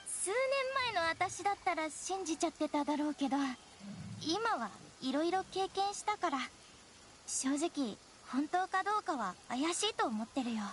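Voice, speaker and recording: A woman playing an earnest, shy teenage forest ranger girl in an anime game, with a soft, hesitant delivery. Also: very high-pitched, animated, normal speed, background noise. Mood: thoughtful. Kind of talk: Japanese anime game acting.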